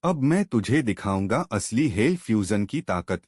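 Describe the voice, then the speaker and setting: A man's voice: on the low side, big pitch swings, a little slow, a little mellow, breathy edge, no echo, studio quality, full character voice. A man voicing a menacing, arrogant fire villain in a fantasy audio story, boastful and threatening.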